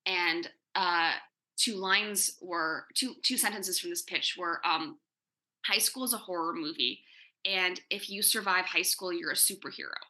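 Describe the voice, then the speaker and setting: A woman speaking as a writer on a discussion panel, thoughtfully, often halting and repeating words as she forms her thoughts. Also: lowish voice, pushed and bright. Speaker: comics writer and panel guest.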